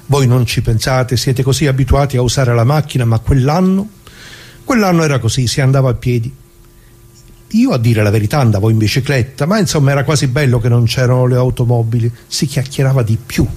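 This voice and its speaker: A man acting out an old retired working man in a radio monologue. His voice is husky and mid-pitched. He rambles good-naturedly and colloquially, repeats himself, and grumbles with indignation straight at the listener. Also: expressive, fairly quick, darkish tone, natural voice. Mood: wistful, chatty. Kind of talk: dramatic monologue in radio play.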